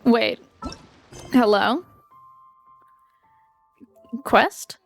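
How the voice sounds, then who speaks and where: A woman's voice: on the high side, drawn-out words, creaky. A woman gamer giving casual, amused, wry commentary on a game she is playing. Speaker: gaming streamer. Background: game music.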